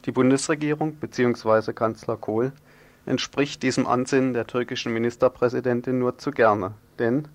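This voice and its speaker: A man's voice: mid-range voice, steady tone, normal speed. A man presenting radio news, reading scripted political commentary in long, formal sentences.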